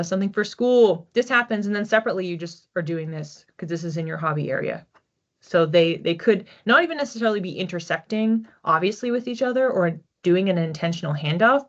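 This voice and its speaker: A woman reading oracle cards for a general audience in a relaxed, chatty way, thinking aloud as she goes. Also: on the low side. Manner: chatty, thinking aloud with restarts and soft laughs. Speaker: psychic card reader. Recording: slightly dull lo-fi audio.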